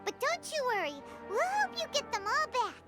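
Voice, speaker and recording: A woman voicing a tiny childlike companion sprite in a video game, squeaky, eager and kindly. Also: very animated, normal speed, loud music behind the voice. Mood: sympathetic.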